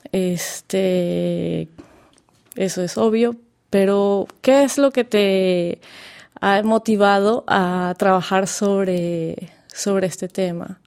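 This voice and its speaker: A woman's voice: mid-range voice, darkish tone. A woman hosting an interview, asking long, winding questions with many fillers. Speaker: interview host.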